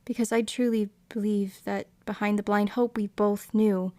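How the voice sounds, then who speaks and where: A woman's voice: mid-range voice, level tone, normal speed, a little mellow, close to an everyday voice. A young woman acting as a wistful, regretful, hesitant young woman in an audio drama narrated in the first person. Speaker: lead actress and narrator.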